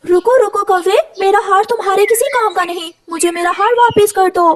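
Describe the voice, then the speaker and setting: A woman's voice: very high voice, fairly quick, pitched above the performer's own voice. A woman voicing an imperious young princess in a cartoon story. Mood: alarmed, pleading.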